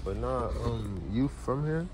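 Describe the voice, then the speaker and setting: A man's voice: mid-range voice, a little slow. A young man filming a prank vlog in a store, using playful, slang-heavy pickup lines on strangers. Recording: loud background noise in a store.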